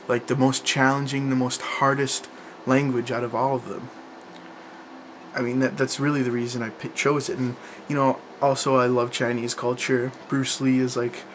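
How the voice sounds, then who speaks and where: A man's voice: mid-range voice, slight background noise. Rambling young man talking to camera. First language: English. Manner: rambling, many restarts and fillers. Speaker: language learning vlogger. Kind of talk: casual talk to camera.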